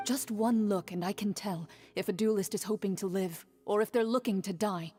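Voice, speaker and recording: An actress playing a composed, stern and honorable champion duelist in a video game. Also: female voice, mid-range voice, moderate pace, dark tone, no echo, close to an everyday voice. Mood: solemn. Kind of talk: dramatic video game voice acting. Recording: music clearly behind the voice.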